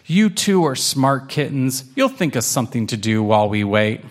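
A man's voice: medium pitch, sing-song, normal speed, natural voice. A man voicing a patient, reassuring mother cat in a children's storybook. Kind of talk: children's storybook read-aloud.

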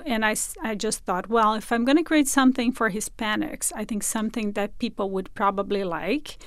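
A woman's voice: mid-range voice, fairly quick, no echo, studio quality. A woman who produces radio, talking as a guest in a conversational interview.

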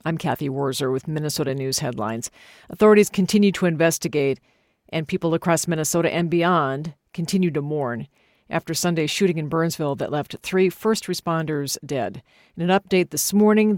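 A woman's voice: low voice, a little mellow, no echo. An American woman reads local headlines and weather from a script as a news presenter. The studio sound is dry, with no room around her voice.

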